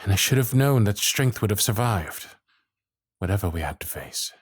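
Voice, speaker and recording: Man playing husky, tender young gentleman in love. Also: on the low side, lively intonation, no echo, studio quality. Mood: pleading, confessional. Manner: tender, halting, pleading. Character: earnest, lovestruck young gentleman, former servant, proposing. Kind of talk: romantic ASMR roleplay acting.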